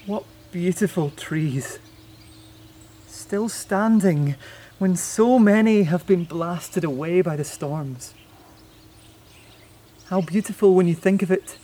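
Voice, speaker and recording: An actor playing a wistful, reflective man in a naturalistic audio play, speaking haltingly and lyrically. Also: sits high, darkish tone. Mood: elated, wondering. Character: wistful, elated man saying goodbye to his beloved. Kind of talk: naturalistic audio drama acting.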